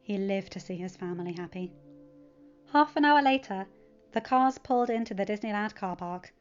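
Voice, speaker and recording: A woman's voice: medium pitch, moderate pace, clear, resonant tone, dull audio. A woman reading scripted story narration as the narrator of a fan fiction audiobook.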